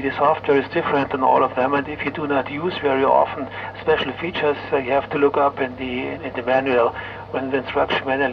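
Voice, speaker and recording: A middle-aged man with a German accent, chatting haltingly as an amateur radio operator over a shortwave transmission, searching for words. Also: medium pitch, creaky. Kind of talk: casual ham radio contact chat. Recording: muffled noisy ham radio signal.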